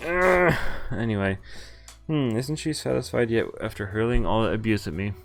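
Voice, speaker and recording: A man's voice: on the low side, lively intonation, moderate pace, creaky, faint music behind. A male streamer reading a visual novel aloud, breaking off now and then with offhand comments. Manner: reads text aloud, breaking off with offhand asides; loud, exaggerated yelps when voicing pained lines.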